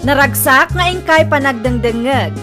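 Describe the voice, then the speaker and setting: A woman's voice: sits high, moderate pace. A woman with an Ilocano accent reading a scripted radio drama introduction as the channel announcer. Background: loud music.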